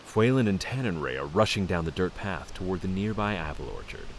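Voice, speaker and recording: A man's voice: low-pitched, animated, fairly quick, slight background noise. A man narrating a fantasy audio drama in a scripted storybook style. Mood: measured.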